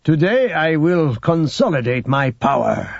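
Villainous male lord, voiced by a narrator: grandiose, deliberate, declamatory, husky, slightly dull recording. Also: fairly high pitch, very animated, dry recording, voice pushed up, full character voice. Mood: grandiose, triumphant. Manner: slow, declamatory oratory. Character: imperious male cult lord, grandiose and fervent.

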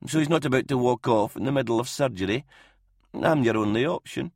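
A man's voice: mid-range voice, brisk, darkish tone, dry recording, studio quality, pitched above the performer's own voice, close to an everyday voice. A man voicing a frail but stubborn and dignified old regimental doctor in an audiobook, speaking earnestly and insistently. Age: older. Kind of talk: audiobook character voice in military science fiction.

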